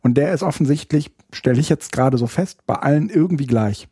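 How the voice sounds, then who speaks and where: A man co-hosts a casual podcast, thinking aloud in long, winding sentences full of fillers and restarts. His voice is mellow and breathy-husky. The sound is dry and close. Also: a little high, brisk, no echo. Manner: hesitant, many fillers and restarts. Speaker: podcast co-host. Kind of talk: casual chat.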